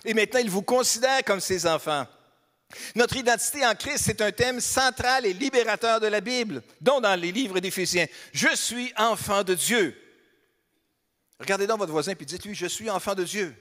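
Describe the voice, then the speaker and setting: A man's voice: sits high, expressive, normal speed, fairly bright tone. A man with a Québécois accent preaching a sermon in a church, conversational and humorous, asking the congregation questions. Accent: Québécois French. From Quebec, Beauce region. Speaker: pastor. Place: church hall. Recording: long hall reverb.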